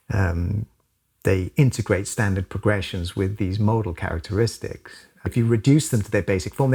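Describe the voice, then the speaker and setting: A man from South Wales, calmly breaking down chords and modes in a music lesson. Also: sits low, gravelly, breathy edge. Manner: relaxed, thoughtful, casual with fillers. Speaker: music teacher. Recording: song playing loudly behind.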